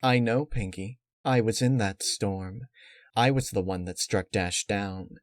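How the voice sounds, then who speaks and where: A man voicing a cold, calculating female unicorn villain who explains menace in a measured, matter-of-fact way. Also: on the low side, no room sound, studio quality, natural voice. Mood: calm, grave.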